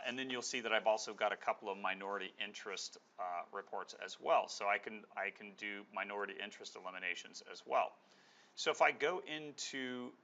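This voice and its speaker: Bright-voiced man giving a software walkthrough. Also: fairly low pitch, muffled recording. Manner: conversational, explanatory, step by step. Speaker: software demonstrator. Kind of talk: informal screen-share product walkthrough.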